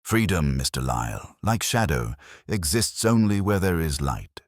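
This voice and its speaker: A refined male villain acted by a narrator speaks with calm, measured menace. The voice is very low and husky, made for a mystery audiobook. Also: dry recording, studio quality, heavily acted. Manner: soft, measured, ominous.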